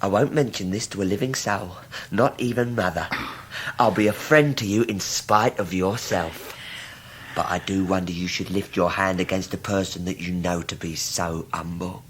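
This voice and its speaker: An actor playing an oily, falsely humble scheming young clerk in a period radio drama, with a wheedling, sanctimonious manner. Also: male voice, sits low, moderate pace, slight background noise, natural voice. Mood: sanctimonious, forgiving.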